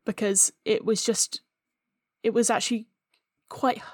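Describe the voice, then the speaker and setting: A woman's voice: fairly high pitch, flat delivery, a little slow, breathy edge, dry recording. Earnest woman, dry close sound. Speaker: podcast guest, audio drama writer. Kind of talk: conversational interview answers.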